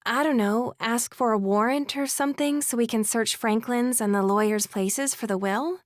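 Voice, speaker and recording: A woman's voice: a little high, normal speed, dry recording, studio quality, close to an everyday voice. An actress playing an impatient, pleading young woman in an audiobook drama. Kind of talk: full-cast audiobook acting.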